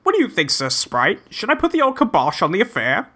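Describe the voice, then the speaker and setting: An earnest young gentleman adventurer, acted out in a comic script reading, polite and folksy. The wordy, old-fashioned lines come in a bright, forceful voice with very lively swings of pitch. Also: fairly quick, full character voice. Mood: conflicted, earnest.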